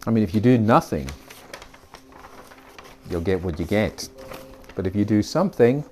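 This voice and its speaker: A man's voice: fairly low pitch, animated, normal speed, dark tone, slight background noise. A man with a Scottish accent, an art teacher giving a friendly, rambling introduction to his beginners class. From Scotland. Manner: friendly, rambling, with fillers and asides.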